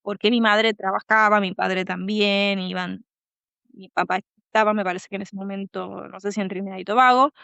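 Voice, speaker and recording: A woman from the Dominican Republic, a guest in an interview, talking thoughtfully and pausing to find her words. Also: medium pitch, steady tone, vocal fry, fairly bright tone, clear, resonant tone, dry recording, dull audio. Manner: thoughtful, pauses to search for words. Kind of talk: conversational interview.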